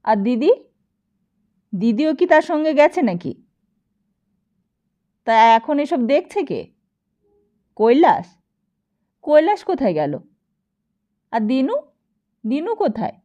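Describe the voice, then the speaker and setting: A woman's voice: high-pitched, sing-song, slow pace, pauses often, dark tone, lo-fi sound, exaggerated voice. A woman voicing an agitated, bossy man in an audiobook, firing insistent, bewildered questions. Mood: indignant. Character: agitated, bossy brother-in-law, bewildered man demanding answers. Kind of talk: audiobook character dialogue.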